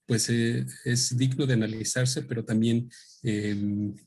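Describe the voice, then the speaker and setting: A man gives an unscripted talk about his book into a microphone, thinking aloud with many pauses and restarts. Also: medium pitch, fairly even intonation, dark tone. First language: Spanish. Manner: thoughtful, hesitant, with many fillers and restarts. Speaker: author presenting his book.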